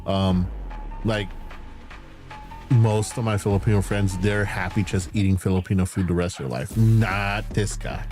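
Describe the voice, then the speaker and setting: Low-voiced candid male streamer, music behind. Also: moderate pace, a little mellow. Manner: rambling, candid, swears casually, frequent fillers and laughs. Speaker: VTuber streamer. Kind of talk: casual stream chat answering viewer questions.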